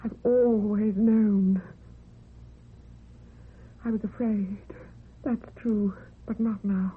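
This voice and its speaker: A woman's voice: mid-range voice, fairly even intonation, drawn-out words, husky, heavily acted. An actress playing a lonely, frightened, ageing woman in a theatrical, tremulous old-time radio horror style. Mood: desperate, defiant. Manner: theatrical, tremulous, talking to herself. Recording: dull vintage radio recording, faint hiss.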